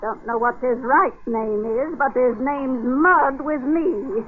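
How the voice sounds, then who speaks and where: A scolding colonial wife for a radio play. She complains emphatically in a high, indignant voice. The vintage recording is thin and faintly noisy. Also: slow, narrow, tinny audio. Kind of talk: 1940s radio drama acting.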